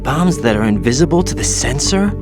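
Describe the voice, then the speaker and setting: A man's voice: medium pitch, lively intonation, fairly quick, music in the background, natural voice. A man playing an earnest, questioning young rookie operative in a video game cutscene. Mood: puzzled, questioning. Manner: earnest, inquisitive. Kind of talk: dramatic game cutscene acting.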